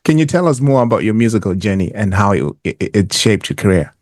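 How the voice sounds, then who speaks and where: A man hosting a podcast interview over a video call, asking questions in a halting way with many stops and restarts. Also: lowish voice, animated, darkish tone, no room sound, studio quality. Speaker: podcast host. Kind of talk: casual interview.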